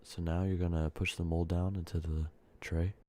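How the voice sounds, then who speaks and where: A man with a cold softly speaking and whispering in a rambling ASMR video, sounding congested and short of breath. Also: low voice, flat delivery, normal speed. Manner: soft speaking drifting into whispers, rambling with fillers. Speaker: ASMR creator. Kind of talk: soft-spoken ASMR candy-making ramble.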